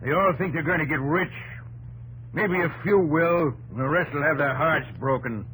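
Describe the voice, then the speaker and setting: A man acting a fiery American newspaper publisher of the frontier in a 1950s radio drama. His voice is fairly high, blustery and emphatic, with folksy phrasing, over a slightly noisy, humming old broadcast. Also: normal speed, a bit bright, natural voice. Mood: indignant. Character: fiery frontier newspaper publisher, hard-nosed vigilante leader.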